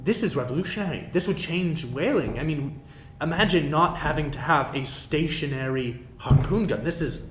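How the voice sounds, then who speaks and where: 남자가 연기하는 은근히 교활한 드워프, 울리는 방. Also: male voice, moderate pace, lightly acted. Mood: awed. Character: Yarvin, sly, admiring male dwarf. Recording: roomy echo, rumbling background noise.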